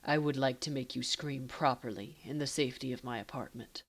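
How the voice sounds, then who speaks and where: A calm, commanding male lover from an audiobook romance, voiced by a woman. The voice sits very low and soft, with slow, formal phrasing. He teases with quiet control and unhurried seduction. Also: exaggerated voice. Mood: low, commanding, teasing. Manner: formal, measured, softly teasing.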